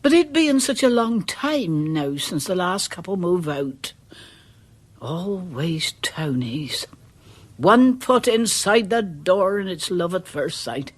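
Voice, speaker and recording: An actress playing a superstitious, deferential village charwoman in a radio drama, with a rural English accent and a halting, folksy way of talking. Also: on the low side, expressive, husky, full character voice. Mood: shaken, ominous.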